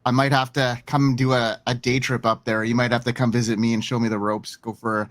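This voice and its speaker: A man's voice: mid-range voice, flat delivery, a little fast, fairly bright tone. A man with a Canadian accent, co-hosting a casual podcast in an easygoing, friendly way. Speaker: podcast co-host.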